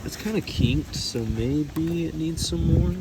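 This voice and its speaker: A man's voice: on the high side, measured pace, heavy background noise. A young man in his twenties rambling and joking as he shows parts and fits hoses on a car project, mostly outdoors in a driveway and filmed on a handheld camera. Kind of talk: casual DIY car build vlog.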